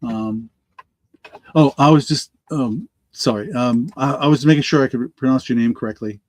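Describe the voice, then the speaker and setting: Halting man hosting a live auction. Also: mid-range voice, a little mellow, dry recording. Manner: halting, lots of ums and restarts. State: hoarse from allergies. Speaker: online auction host. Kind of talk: rambling live-stream auction chat.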